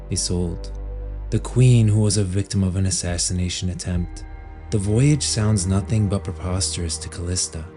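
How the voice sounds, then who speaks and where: A man reading scripted storybook narration as the narrator of an audio drama. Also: low voice. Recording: music under the voice. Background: background music.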